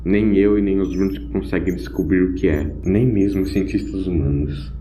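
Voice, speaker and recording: A man's voice: low-pitched, steady tone, normal speed, dark tone, a little hiss or hum, natural voice. A man playing a kindly old grandfather doctor with an old-fashioned, hemming manner in an audio drama. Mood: weary, puzzled. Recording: roomy, dull home recording with music.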